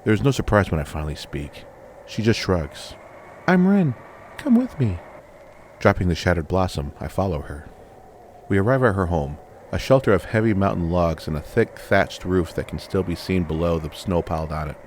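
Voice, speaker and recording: Very deep-voiced man narrating fantasy. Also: a little hiss or hum. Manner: measured, dramatic, short deliberate phrases. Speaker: audiobook narrator. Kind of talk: scripted first-person fantasy story narration.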